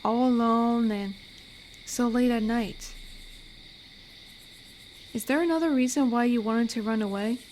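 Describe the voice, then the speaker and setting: A woman voicing an amiable, rambling werewolf who guards a forest, in an intimate audio roleplay with a chatty, gently awkward manner. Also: on the high side, unhurried, long pauses, a little hiss or hum, natural voice. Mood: curious, sympathetic. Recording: night cricket ambience behind voice. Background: crickets and forest night sounds.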